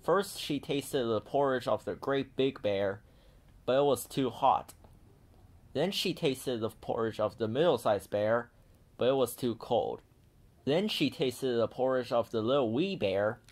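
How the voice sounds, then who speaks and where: A man reads a children's picture book aloud as narrator. His pitch is middling and swings in a lively way through the storytelling. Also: measured pace. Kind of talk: animated scripted storybook narration.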